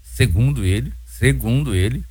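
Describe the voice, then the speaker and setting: A man's voice: mid-range voice, expressive, moderate pace, dark tone, husky, no room sound. A man with a Brazilian accent hosting a radio talk show, chatty and full of filler words.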